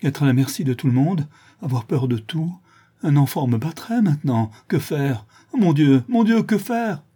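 Man acting an anguished blinded brigand, pitched up. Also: expressive, normal speed, warm tone, husky. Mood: desperate. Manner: exclamatory, pleading, repeating words in anguish. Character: brutal male brigand, newly blinded, raging then pitifully despairing.

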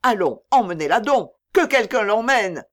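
A woman's voice: mid-range voice, sing-song, unhurried, voice pushed up. A woman voicing a callous, bossy male slave trader in an audiobook, curt and commanding with a false joviality. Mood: curt, irritated.

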